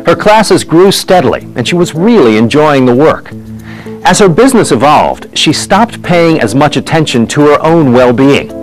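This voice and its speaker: Lively male documentary narrator. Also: medium pitch, rough recording. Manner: measured storytelling delivery. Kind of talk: scripted narration.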